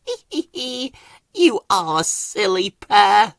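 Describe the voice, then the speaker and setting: A man voicing a cheeky, excitable small animal from a children's storybook, squeaky and gushing. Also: slow, dry recording, studio quality, heavily acted. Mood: teasing. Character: giggly, cheeky little garden animal, excitable.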